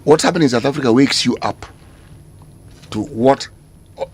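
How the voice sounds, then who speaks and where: A man with a Kenyan accent hosting a radio discussion, making his points in a measured, emphatic way and switching into Swahili now and then. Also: mid-range voice, breathy edge. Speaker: radio host. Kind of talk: casual on-air discussion. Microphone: studio microphone.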